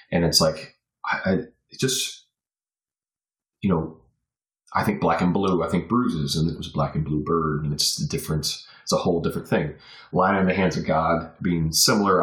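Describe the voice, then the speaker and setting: A man's voice: extremely low pitch, fairly bright tone. A man as a podcast guest, chatting casually and reflectively, rambling as he thinks aloud. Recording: roomy, echoey sound.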